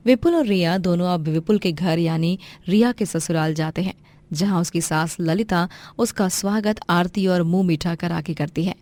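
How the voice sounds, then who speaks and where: A woman's voice: on the low side, moderate pace, mellow. A woman reading a scripted moral story as its narrator.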